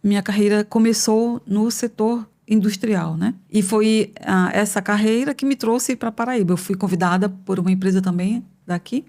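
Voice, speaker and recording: A woman in her fifties from northern Brazil speaks as a podcast guest, thoughtful and unhurried, with a middle-pitched voice. She gathers her ideas aloud, pausing and adding small tag questions as she explains her path into academia. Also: fairly even intonation, warm tone. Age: 50s. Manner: thoughtful, measured, frequent hesitations and tag questions. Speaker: economics professor, podcast guest. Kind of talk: conversational interview answers. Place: podcast studio.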